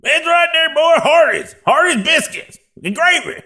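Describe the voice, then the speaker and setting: A man's voice: very high voice, animated, moderate pace, bright, forceful tone, soft background music, pitched above the performer's own voice, exaggerated voice. A man voicing a boorish, dim-witted country coach with a Southern US accent and a rambling, blustering, folksy manner, in a comic sketch. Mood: blustering, scolding.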